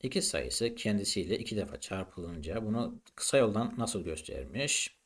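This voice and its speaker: Low-pitched man explaining like a teacher. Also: very animated, normal speed. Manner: didactic, poses questions then answers them. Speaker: maths teacher presenting. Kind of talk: explanatory lesson narration.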